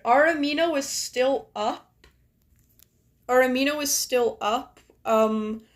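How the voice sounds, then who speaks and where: Chatty young woman, slightly raspy morning voice. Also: fairly high pitch. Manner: chatty, filler-heavy, many "like"s. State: morning voice, a little raspy and deeper. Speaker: streamer. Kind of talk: casual live-stream chat.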